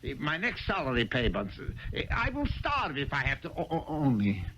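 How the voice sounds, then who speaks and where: An actor playing a meek, poor clerk in a 1970s radio drama, hesitant, stammering and apologetic. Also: male voice, fairly high pitch, huge pitch swings, normal speed. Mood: desperate, earnest. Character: timid, poor, pleading male clerk, meek and earnest. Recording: muffled, noisy old radio broadcast.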